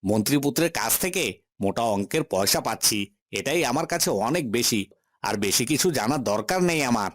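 A man's voice: medium pitch, expressive, fairly quick, lightly acted. A man voicing a mercenary henchman in a cartoon, with a questioning, deferential manner. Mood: puzzled. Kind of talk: Bengali cartoon voice acting.

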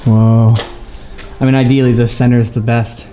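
A young man in his twenties talking excitedly to a handheld camera as he explores an abandoned sea fort, thinking aloud. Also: mid-range voice, normal speed, muffled recording, soft background music. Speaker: urban explorer vlogger. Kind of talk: casual handheld vlog narration. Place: inside an abandoned sea fort, concrete corridors and rooftop.

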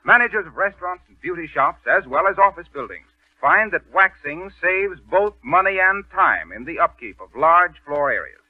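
A man's voice: high-pitched, big pitch swings, normal speed, dry recording. A man announcing a 1930s radio advertisement in a polished, formal sales style. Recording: tinny, band-limited 1930s radio recording.

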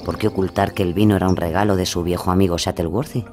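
Actor as low-voiced man commenting drily. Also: steady tone, fast talker, lightly acted. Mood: wry, curious. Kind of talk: audio drama dialogue.

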